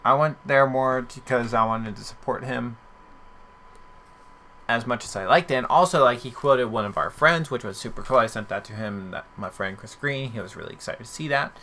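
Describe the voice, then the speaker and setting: A man's voice: mid-range voice, moderate pace, sharp tone, a little hiss or hum. A man hosting a podcast in casual chat, rambling and questioning himself as he talks. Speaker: podcast host.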